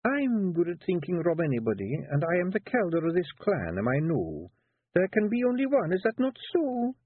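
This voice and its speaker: A man voicing a young Scottish fairy clan wife who speaks in a dangerously sweet, measured way. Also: high-pitched, wildly swooping pitch, husky, no echo, pitched above the performer's own voice, heavily acted. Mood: stern sweet. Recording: tinny phone-like audio.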